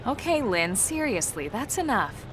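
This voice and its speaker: A woman voicing a composed, sensible female military team leader in a video game cutscene, measured and gently firm. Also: a little high, very animated, noisy background.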